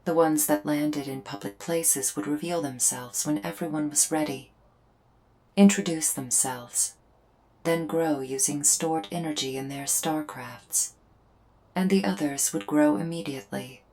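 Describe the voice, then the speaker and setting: A woman plays a solemn alien invader woman with a low-pitched voice. She explains herself to a human in formal, carefully measured phrases, leaving deliberate pauses. It is a scripted ASMR roleplay with music playing faintly behind her. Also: a little mellow. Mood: grave, measured.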